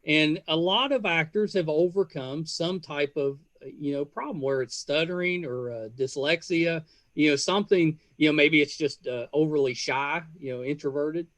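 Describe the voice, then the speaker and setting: A man hosting a casual podcast interview, speaking in a halting, rambling and effusive way. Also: a little high, fairly bright tone, no echo.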